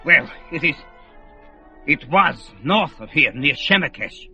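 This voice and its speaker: A fretful old sorcerer. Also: male voice, on the high side, lively intonation, takes it slowly, sharp tone, heavily acted. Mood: worried. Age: older. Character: aged male sorcerer, fretful, proud, feuding with his son. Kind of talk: old-time radio drama acting. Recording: old radio recording with music score.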